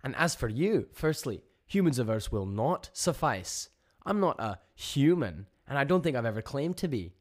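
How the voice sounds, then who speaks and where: A man's voice: on the low side, lively intonation, moderate pace, mellow, slightly rough, pitched above the performer's own voice. A man voicing a blunt, irreverent and defiant woman in a science fiction story. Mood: indignant, defiant.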